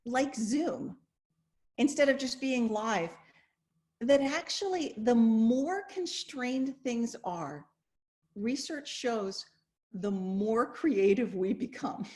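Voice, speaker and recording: An expressive woman speaking reflectively as a professor hosting an online discussion over a video call. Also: fairly high pitch, takes it slowly. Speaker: host, English professor.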